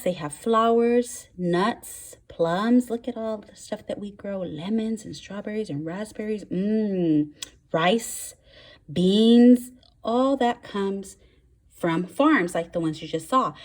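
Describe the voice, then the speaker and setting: Woman teacher explaining to young children. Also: mid-range voice. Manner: explanatory, simple wording, emphatic on key words. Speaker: first-grade teacher. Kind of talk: read-aloud lesson for young children.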